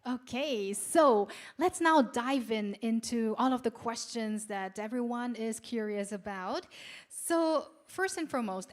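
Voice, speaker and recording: Woman emcee at a live ceremony, polished and upbeat, kinda high-pitched. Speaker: event host and talk show moderator. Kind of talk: hosting a live ceremony talk show.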